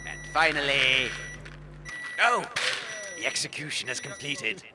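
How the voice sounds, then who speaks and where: A man's voice: mid-range voice, lively intonation, a little slow, very noisy, natural voice. A man playing a pompous, villainous wasp cult leader in a comic audio drama, commanding and irritable in a theatrical way. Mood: officious announcing.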